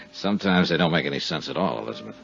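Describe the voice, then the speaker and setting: A man's voice: a bass voice, expressive, fast, vocal fry, a bit bright, soft background music, full character voice. An actor playing a wry, laconic male insurance investigator in a 1950s radio drama, speaking in a dry manner. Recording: muffled 1950s radio broadcast.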